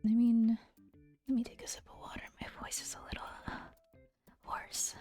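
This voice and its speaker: Soft-spoken, whispery woman streamer. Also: fairly high pitch, flat delivery, moderate pace, soft background music. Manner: soft, gentle, often half-whispered; giggly, playful teasing. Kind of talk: casual chat stream. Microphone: close to a regular mic.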